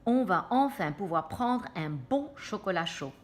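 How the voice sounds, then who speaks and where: A woman voicing an excitable, always hungry young man from a cartoon in a children's storybook. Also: medium pitch, unhurried, natural voice. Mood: excited. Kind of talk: storybook read-aloud for children.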